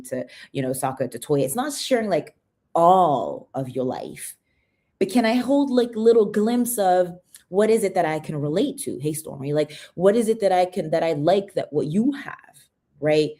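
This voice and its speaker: A woman's voice: fairly low pitch, big pitch swings, normal speed, mellow. A young woman with an American accent, raised in the UK, hosting a casual sales livestream, chatting, greeting viewers and often asking "right?". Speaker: livestream host.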